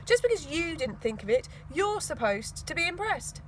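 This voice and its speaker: A woman's voice: high voice, big pitch swings, normal speed, fairly bright tone, a little hiss or hum, poor audio. A woman voicing a scheming, easily irritated young boy in a storybook, emphatic and self-important.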